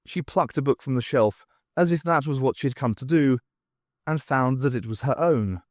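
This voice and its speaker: A man's voice: medium pitch, moderate pace, dry recording, studio quality. A man narrating scripted fiction as an audiobook reader.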